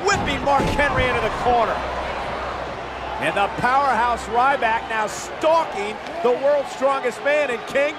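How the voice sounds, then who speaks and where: A man's voice: very high-pitched, a little slow. A man playing an eager, dramatic wrestling play-by-play announcer in a video game. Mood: excited. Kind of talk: excited wrestling commentary. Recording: loud crowd, noisy game audio. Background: roaring arena crowd.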